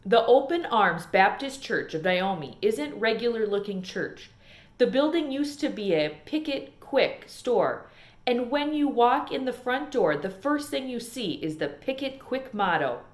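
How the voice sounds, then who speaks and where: A woman reading a children's novel aloud as an expressive storyteller, in an echoey room. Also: fairly high pitch, a little slow. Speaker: storybook reader.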